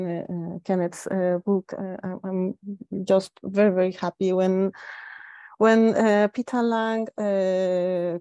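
Female scholar, Spanish accent, mellow dry sound, enthusiastic but halting delivery with repeated words. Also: medium pitch, no echo. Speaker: academic discussant. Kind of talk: informal spoken academic commentary. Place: living room at home. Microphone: earphone mic on video call.

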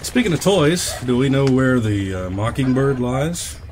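A man's voice: mid-range voice, very animated, measured pace, darkish tone, a little hiss or hum. A man playing a sardonic military lieutenant in an audio drama, wry and dramatic.

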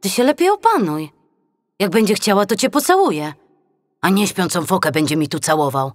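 A woman voicing a sensible, sharp-tongued female seal in an audiobook, with a scolding, sardonic, no-nonsense manner. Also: mid-range voice, very animated, normal speed, no echo, clean studio sound, natural voice. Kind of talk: theatrical audiobook acting.

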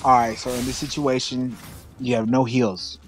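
A man's voice: medium pitch, little pitch movement, normal speed, loud music behind the voice. A man coaching a video game player casually, giving slangy, instructive callouts.

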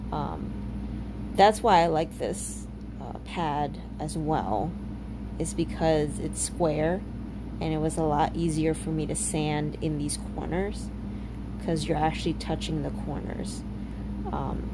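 A woman in her thirties narrating a home renovation video in a relaxed way, with many ums and uhs. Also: low-pitched, noisy background. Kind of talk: casual voice-over narration.

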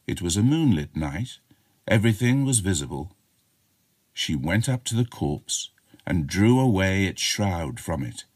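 Deep, husky male storyteller. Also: sing-song, unhurried, no echo, clean studio sound. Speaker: audiobook narrator. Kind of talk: dramatic scripted storytelling, chiller.

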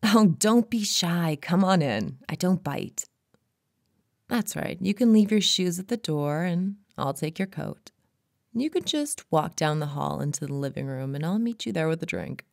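A woman's voice: on the low side, animated, measured pace, creaky, a little mellow, no echo. A woman playing a seductive female hypnotist in an intimate roleplay, speaking in a soothing, coaxing way, often close to a whisper. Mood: friendly, coaxing. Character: seductive female hypnotist luring a lost traveler.

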